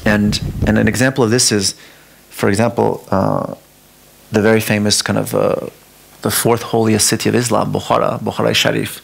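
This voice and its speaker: A man's voice: fairly low pitch, little pitch movement, gravelly, a little hiss or hum, low-quality recording. A man whose first language is Farsi gives a talk about his art at a museum, often restarting his sentences as he thinks aloud. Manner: halting, restarts often, talks through ideas. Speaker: artist giving a talk. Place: museum lecture hall.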